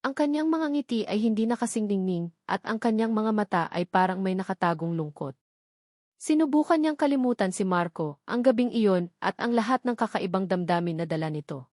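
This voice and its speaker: A woman's voice: mid-range voice, lively intonation, fairly quick, a little mellow, no echo, studio quality. A woman with a Filipino accent reads a scripted romance story as its narrator, in a dramatic storytelling manner.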